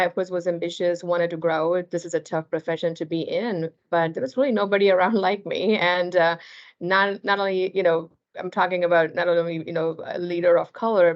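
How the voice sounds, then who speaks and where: Female panelist, deep register, hesitant unscripted delivery with repeated phrases, slightly muffled audio. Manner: halting, repeats phrases, often says "you know". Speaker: panelist, accounting firm executive. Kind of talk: panel discussion, unscripted personal story.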